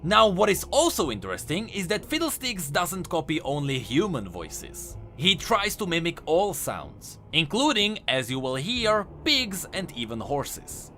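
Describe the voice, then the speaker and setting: A man's voice: a little high, huge pitch swings, measured pace, few pauses, sharp tone, soft background music. A man hosting a scripted explainer video as a game lore commentator.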